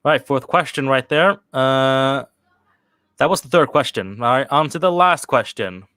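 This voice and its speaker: A man's voice: mid-range voice, pushed and bright, clean tone, no room sound. Rambling young man, dry close sound. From Sweden. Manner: rambling, halting, with many restarts and fillers. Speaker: channel host answering live chat questions. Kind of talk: casual livestream chat.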